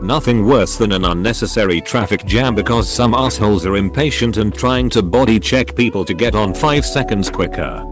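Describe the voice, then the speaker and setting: A man with a somewhat low voice reads online posts aloud, one after another. His delivery stays steady and even throughout. Clear background music plays beneath the narration. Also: moderate pace, hardly any pauses. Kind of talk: reading forum posts aloud.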